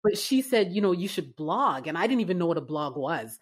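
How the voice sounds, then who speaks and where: Woman from Canada, low voice, chatty, fillers and restarts, interview guest. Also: sing-song, a little fast, slightly rough. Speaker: guest, writing business owner. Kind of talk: casual interview conversation.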